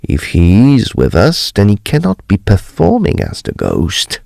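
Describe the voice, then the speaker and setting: Courteous gentleman detective, male actor, low and gravelly, formal and theatrical, for period audio drama. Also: wildly swooping pitch, measured pace, no room sound, exaggerated voice. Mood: measured, probing. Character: measured, courteous gentleman ghost investigator.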